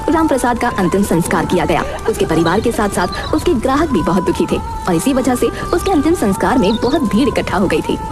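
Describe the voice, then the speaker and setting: A woman narrating a Hindi cartoon story in an expressive, scripted storytelling style. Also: medium pitch, fairly quick, few pauses. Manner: expressive, animated. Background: background music.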